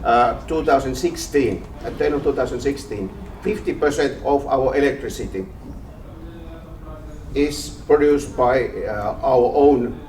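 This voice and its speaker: A man's voice: mid-range voice, a little slow, a little mellow. Distant man stating energy targets. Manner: factual, with fillers. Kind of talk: documentary interview. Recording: distant mic with faint noise.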